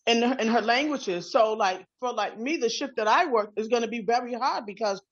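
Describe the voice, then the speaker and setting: A woman's voice: on the high side, brisk, muffled recording. A woman who works in a group home, speaking on an online call. She talks conversationally, asks questions and repeats words.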